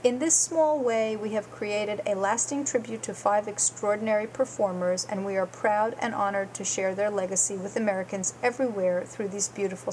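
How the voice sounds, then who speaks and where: A woman hosting a guitar show reads her prepared segment in an earnest, careful way, taking lists item by item. Her voice sits at a middle pitch, with a faint noise behind it. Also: a little mellow, low-quality recording.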